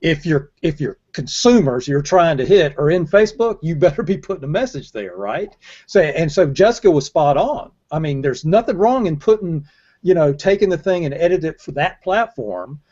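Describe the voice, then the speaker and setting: A man's voice: on the high side, lively intonation, normal speed, a little mellow. An older man speaking informally as a panelist on a video call. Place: indoor room on a video call. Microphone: earbud headset. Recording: dull lo-fi video call audio.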